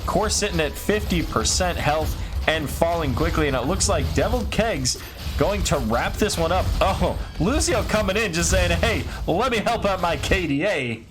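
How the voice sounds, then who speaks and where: A man casting an esports match alone, calling plays quickly and then chatting loosely, his voice tired and a little raspy late at night. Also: high voice, music in the background. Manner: quick excited calls, then loose rambling sign-off. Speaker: esports caster. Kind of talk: solo esports play-by-play commentary.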